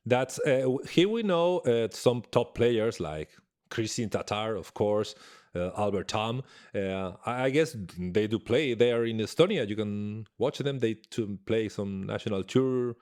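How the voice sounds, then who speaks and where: A man's voice: on the low side, expressive, measured pace, a little mellow. A man interviewing a guest, asking long questions while searching for words. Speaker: interviewer, host.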